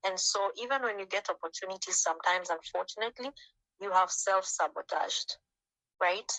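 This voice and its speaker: A woman from Africa giving an informal talk to a group on a video call, switching to lively voices when quoting parents. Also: normal speed, no room sound, rough recording. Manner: conversational, acts out quoted parents with jumps in pitch.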